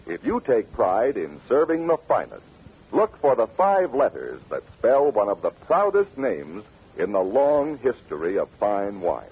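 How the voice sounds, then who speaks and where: A muffled old radio recording of a male announcer with a polished sales delivery. His voice is very husky, medium in pitch and swings widely in scripted 1940s style. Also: wildly swooping pitch, measured pace.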